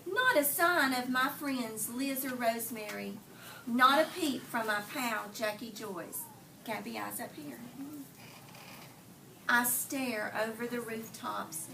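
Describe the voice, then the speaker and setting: A woman teacher doing an interactive read aloud for young children, telling the story expressively with deliberate phrasing and pausing to put questions to them. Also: a little high, lively intonation, sharp tone. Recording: distant mic, clearly noisy room.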